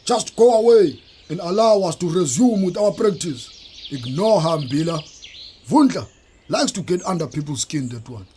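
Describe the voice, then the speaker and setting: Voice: mid-range voice, animated, normal speed, mellow, a little hiss or hum, close to an everyday voice. An actor with a South African accent playing a kindly, commanding lion who leads the animals in a children's audio play, theatrical and reassuring. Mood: firm, calm.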